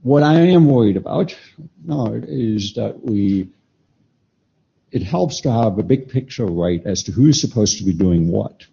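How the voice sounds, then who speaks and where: A man with a low, mellow voice, making his case at a technical meeting. He talks informally and with energy, thinking aloud as he goes, and the recording sounds dull and lo-fi. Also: lively intonation, measured pace, vocal fry, breathy edge. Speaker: meeting participant. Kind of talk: technical discussion at a standards meeting. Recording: dull lo-fi conference room audio.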